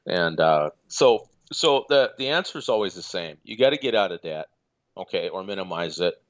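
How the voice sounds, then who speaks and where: A man calling in as a guest, talking in a measured, hesitant way with many fillers. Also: sits low, expressive, dull audio. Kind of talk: casual remote call-in chat.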